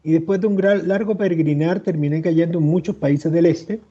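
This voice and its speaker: A man in his sixties with a Chilean accent, a historian answering interview questions in a measured, reflective way over a remote call. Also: fairly high pitch, steady tone, dark tone, muffled recording. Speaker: historian guest.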